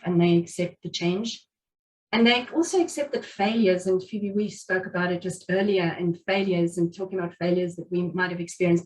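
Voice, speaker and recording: A woman's voice: on the low side, slight background noise. Earnest Afrikaans-speaking woman, heard from afar. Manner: earnest, reflective, many restarts and fillers. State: has covid. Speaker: guest speaker. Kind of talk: motivational talk at a meetup. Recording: speaker distant from the mic.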